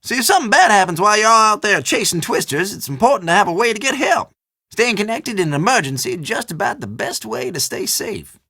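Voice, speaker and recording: Game role: chatty old country shopkeeper, high creaky voice, dry recording, teasing and folksy. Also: male voice, very animated, fairly quick, pushed and bright, dry recording, heavily acted. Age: older. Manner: folksy drawl, colloquial, teasing. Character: folksy, chatty old small-town storekeeper, a man. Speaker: small-town general store keeper. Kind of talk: video game character dialogue.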